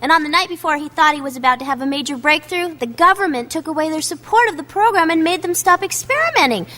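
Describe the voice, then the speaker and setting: A woman's voice: high-pitched, expressive, quick pace, pushed and bright. An actress playing a chatty, impulsive young woman and loyal friend in a family radio drama, eager and teasing. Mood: excited gossip.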